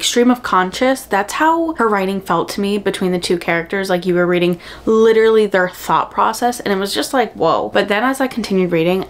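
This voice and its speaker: A young woman with a mid-range voice talks in a casual vlog style. She gushes in slangy phrases and stresses words dramatically, as if chatting with friends. A light layer of background noise sits under the recording. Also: mid-range voice. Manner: gushing, dramatic emphasis, slangy. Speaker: book vlogger.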